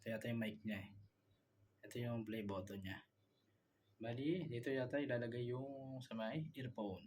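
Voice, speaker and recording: A man with a Filipino accent giving a casual product demo as a vlogger, halting and repeating himself as he searches for words. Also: mid-range voice, darkish tone, slight background noise.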